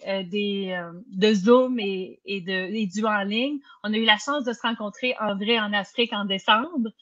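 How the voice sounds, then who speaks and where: Bright-voiced woman hosting over lo-fi call. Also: medium pitch. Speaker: host of the interview. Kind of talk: live online interview. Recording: dull lo-fi video call audio.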